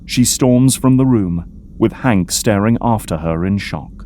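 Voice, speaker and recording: A man narrating an audio drama from a script in measured storytelling prose. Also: lowish voice, mellow, slight background noise.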